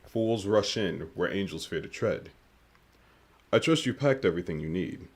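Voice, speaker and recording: A man playing a guarded, masked mission commander in an audio drama, speaking in a formal, measured, commanding way. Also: low voice, animated, husky, full character voice. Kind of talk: scripted audio drama acting.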